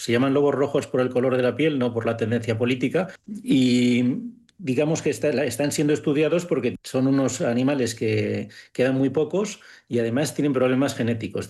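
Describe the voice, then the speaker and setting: A man's voice: mid-range voice, darkish tone. A man presenting science news as a panelist in informal talk, explaining step by step and repeating points for emphasis.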